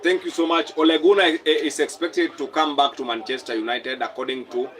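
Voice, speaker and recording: A man's voice: fairly high pitch, fairly even intonation, a little fast, a bit bright. A young man from Kenya hosting a casual live stream, reading out viewer comments and repeating his phrases as he talks.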